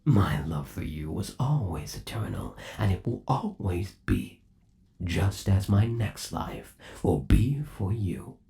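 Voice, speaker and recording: A man's voice: fairly low pitch, expressive, slow, vocal fry, mellow, heavily acted. A man playing a possessive, lovesick undead animatronic rabbit boyfriend in an ASMR roleplay, cooing in a doting, theatrical and sinister way. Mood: adoring, obsessive.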